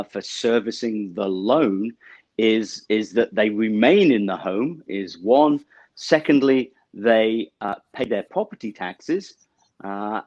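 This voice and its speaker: A middle-aged man from the UK with a British accent, explaining banking products as an interview guest over a video call, measured and full of small fillers. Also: fairly low pitch, a little mellow, dry recording, muffled recording. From United Kingdom. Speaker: bank representative guest. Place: office with banner backdrop, indoors.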